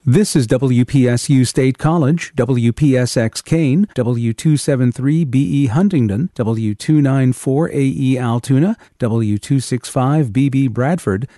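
A man's voice: mid-range voice, mellow, no room sound, studio quality. A man reading a scripted announcement as a radio station announcer.

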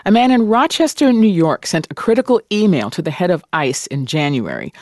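A man introducing a news story in a crisp news-reading manner. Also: on the high side, a little mellow, no room sound, studio quality. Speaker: news host.

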